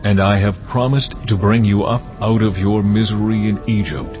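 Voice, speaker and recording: A man voicing God, deep and commanding. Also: close to an everyday voice. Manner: proclaiming, slow and weighty. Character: God, a commanding, solemn divine male voice. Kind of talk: dramatized scripture reading. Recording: muffled, over loud cinematic music.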